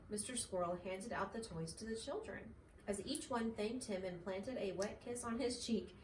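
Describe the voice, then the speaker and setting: A woman reads a children's storybook aloud in a medium-pitched voice. The recording is echoey and slightly distant, with faint noise behind her. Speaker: mother reading a storybook.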